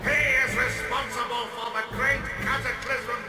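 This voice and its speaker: A pompous arena announcer. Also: male voice, level tone, normal speed, echoey room, distant mic, loud music behind the voice. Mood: grandiose. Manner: theatrical, gloating, booming announcer. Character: pompous male imperial spokesman, showman.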